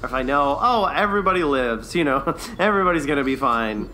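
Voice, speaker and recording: A man with a bright, slightly high voice chats as a podcast co-host. He is casual, enthusiastic and quick to agree. The recording carries audible background noise. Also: expressive, low-quality recording. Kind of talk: casual review chat.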